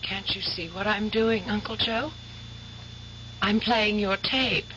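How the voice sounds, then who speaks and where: A woman's voice: medium pitch, steady tone, slow pace, sharp tone, dull audio, a little hiss or hum. An actress playing a young woman, a gangster's wounded adopted daughter, in pointed and accusing old-time radio drama style. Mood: defiant.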